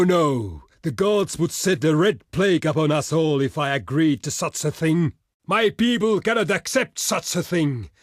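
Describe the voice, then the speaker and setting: An actor playing a proud, stern barbarian envoy in a video game, speaking in a solemn, formal and declamatory way. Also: male voice, fairly high pitch, no echo, studio quality. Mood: indignant, refusing. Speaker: diplomat character in a strategy game. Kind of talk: theatrical video game voice lines.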